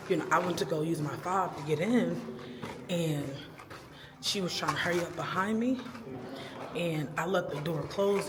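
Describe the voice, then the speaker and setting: A woman's voice: fairly low pitch, noisy background. Chatty woman in her thirties vlogging. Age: 30s. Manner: rambling, chatty, laughs between thoughts. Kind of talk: casual vlog chat.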